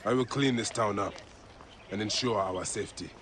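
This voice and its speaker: A grim warrior protector from an ancient Egyptian video game, played by a male actor. He speaks low, solemn and terse, bent on vengeance. Outdoor noise is clearly heard behind him. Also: normal speed, a bit bright, close to an everyday voice.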